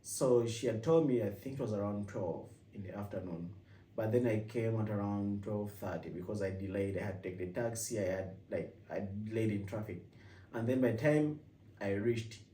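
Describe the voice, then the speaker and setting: A man's voice: on the low side, normal speed, warm tone, slight background noise. Ugandan man vlogging in an echoey room. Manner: rambling with frequent restarts. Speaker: vlogger host. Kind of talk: casual personal vlog. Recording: echoey room, mic at a distance.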